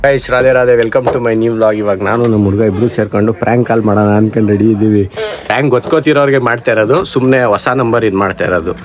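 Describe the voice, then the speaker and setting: A man's voice: lowish voice, steady tone, fast talker. A man with a Karnataka Kannada accent chatting casually as host of a prank-call vlog.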